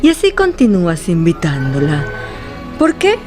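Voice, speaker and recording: A woman's voice: lowish voice, huge pitch swings, moderate pace, darkish tone, soft background music. An actress playing a resentful, dismissive female relative in a radio drama, exasperated and reproachful.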